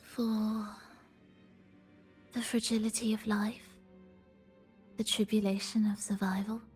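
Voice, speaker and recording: A woman voicing a melancholic, enigmatic composer and conductor in a video game, solemn and poetic in a soft, breathy voice. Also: medium pitch, level tone, lightly acted. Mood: mournful, solemn. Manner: solemn, poetic, measured delivery. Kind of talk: dramatic video game voice acting. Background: background music.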